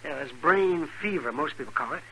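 An actor playing a fussy, kindly frontier doctor in a 1950s radio western, chatty and folksy. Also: male voice, a little high, lively intonation, a little fast, bright, forceful tone. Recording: noisy old 1950s radio recording.

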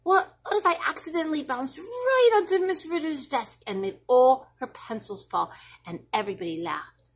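A woman's voice: high-pitched, animated, moderate pace, voice pushed up. A woman voicing an anxious, imaginative little schoolboy who rambles through what-if questions in a children's storybook. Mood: worried, excited. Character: anxious, imaginative little school-age boy pestering his mother. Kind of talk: expressive children's storybook reading.

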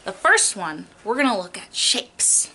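Young woman teacher reading a picture book to little kids, super sing-songy up and down, takes it slow, bright voice. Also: medium pitch, breathy edge. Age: young adult. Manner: animated, exaggerated, child-directed, stretching key words. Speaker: preschool teacher reading to children. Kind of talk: children's picture book read-aloud.

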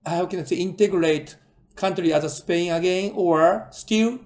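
Higher-pitched male interviewer. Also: darkish tone. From Japan. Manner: halting non-native phrasing, frequent listening murmurs. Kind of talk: conversational interviewing over a call.

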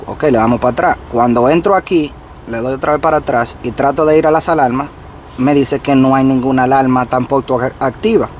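A man's voice: mid-range voice, fairly quick, slight background noise. A man in his thirties, a trucker vlogger, explaining step by step straight to his viewers from the cab of his parked truck. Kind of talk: casual how-to vlog. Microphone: camera on the dashboard facing him.

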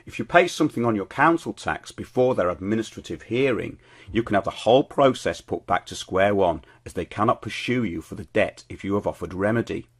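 A middle-aged British man presenting his show as host, reading scripted commentary in a measured, declarative way. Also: fairly low pitch, animated, husky.

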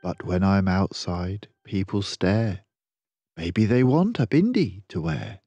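Storyteller: older man, slightly husky and close-recorded, expressive, unhurried read-aloud for young children. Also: low voice, no room sound, muffled recording. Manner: measured, deliberate, rhyming delivery. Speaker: storyteller reading a children's picture book.

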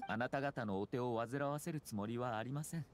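A man's voice: mid-range voice, brisk, background noise, natural voice. An actor playing a composed, courteous young nobleman in an anime game, speaking formally and politely. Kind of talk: Japanese anime game dialogue. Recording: music behind the voice.

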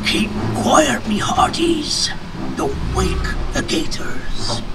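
An actor playing a jolly, boisterous pirate captain with hearty pirate bluster, heard through ride speakers from afar over music. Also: male voice, very high voice, very animated, drawn-out words, creaky, distant mic, exaggerated voice.

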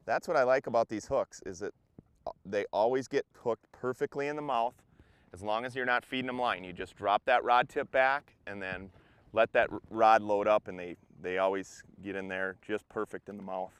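A man in his forties hosts a fishing report from an open boat on a big lake, explaining his methods in an upbeat, chatty way. His voice sits low and sounds smooth and resonant. A lapel mic picks him up outdoors. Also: moderate pace, a bit bright, no room sound. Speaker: fishing guide and show host.

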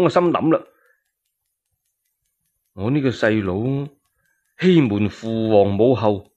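A man with a Cantonese accent narrating a historical tale as a solo radio storyteller, dramatic and expressive. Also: medium pitch, muffled recording.

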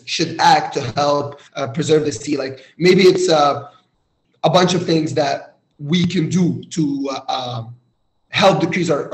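A man from Egypt hosting a casual interview, phrasing things in a thoughtful, halting way. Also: medium pitch. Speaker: interviewer, host. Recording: roomy, echoey sound.

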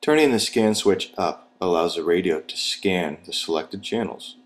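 A man's voice: sits low, moderate pace. A man reading scripted instructional narration in a plain, step-by-step, procedural manner.

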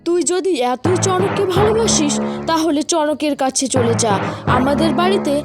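A woman's voice: extremely high pitch, expressive, moderate pace. A woman voicing a strict, indignant father bird in a children's cartoon, agitated and scolding. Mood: angry, scolding. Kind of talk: children's cartoon story voice acting. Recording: music behind the voice.

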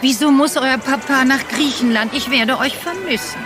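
An older woman playing a wealthy, sharp-tongued elderly aunt in a crime drama, genteel and outspoken. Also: high voice, moderate pace, music in the background, natural voice. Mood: lively. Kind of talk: dubbed crime drama acting.

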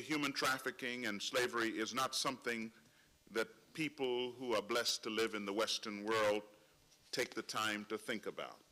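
A middle-aged man from Trinidad, seated at a panel table, delivering a prepared speech in measured, solemn tones with slow emphatic pauses into a table microphone. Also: lowish voice, sharp tone. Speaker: conference speaker. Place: large conference hall, seated at a panel table.

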